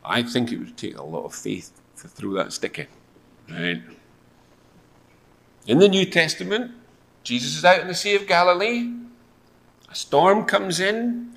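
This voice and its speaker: A man's voice: sits high, big pitch swings, a little slow, creaky, long pauses, fairly bright tone. A man in his fifties preaching in a conversational storytelling style with wry asides and rhetorical questions. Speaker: church preacher. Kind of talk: sermon with storytelling and acted-out dialogue. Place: church hall, speaking to a seated congregation. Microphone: lectern microphone and lapel mic.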